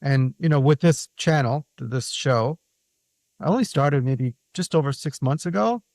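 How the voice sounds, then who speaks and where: A man's voice: medium pitch, normal speed, darkish tone, dry recording, clean studio sound. A man hosting an interview show, chatting casually and conversationally with his guest. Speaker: podcast host.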